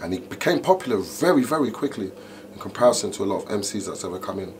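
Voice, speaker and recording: A middle-aged man, an MC telling stories in an interview, animated and full of "you know". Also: fairly low pitch, quick pace. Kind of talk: interview, casual storytelling. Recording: noticeable background noise.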